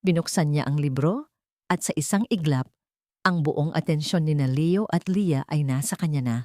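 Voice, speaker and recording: Female narrator, Filipino accent, deep register, lively melodic delivery for an audio drama. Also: no room sound, studio quality. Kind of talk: expressive scripted storytelling.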